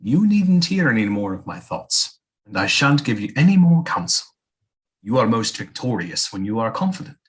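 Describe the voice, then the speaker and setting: Husky ghostly seer played by a man. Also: mid-range voice, very animated, normal speed. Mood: devoted, encouraging. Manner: courtly, solemn, archaic diction. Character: ghostly old seer, devoted, cryptic counselor to queen. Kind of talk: theatrical audio drama acting.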